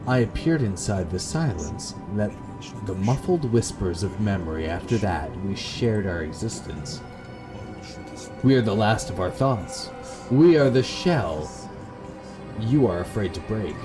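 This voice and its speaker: Man reading as solemn low otherworldly gothic entity. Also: animated, a little slow. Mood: ominous, solemn. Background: atmospheric game music.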